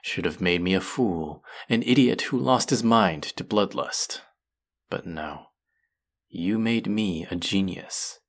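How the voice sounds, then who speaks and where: Man acting a slow, deep-voiced menacing fantasy villain. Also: exaggerated voice. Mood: mocking, bitter. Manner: slow, deliberate, sardonic, dropping into whispers. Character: menacing, brilliant male fantasy villain, vengeful and mocking. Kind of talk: audio roleplay acting, intimate and threatening.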